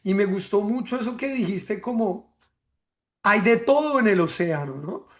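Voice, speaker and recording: A man from hosts a podcast in a high, light voice. He talks in a measured, reflective way, often ending a thought with a tag question. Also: lively intonation. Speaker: podcast host. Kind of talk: reflective podcast monologue and interview.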